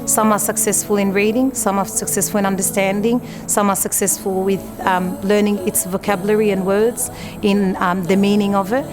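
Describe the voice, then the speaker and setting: Brief: woman, brisk and informative, institute spokesperson, music underneath. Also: medium pitch, little pitch movement. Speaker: institute representative. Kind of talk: documentary interview. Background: background music.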